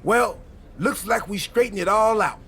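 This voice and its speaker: High gravelly sarcastic buddy. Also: male voice, moderate pace, bright, forceful tone, a little hiss or hum. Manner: sarcastic, joking. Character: sarcastic, wisecracking wrestler buddy. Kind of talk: video game cutscene dialogue.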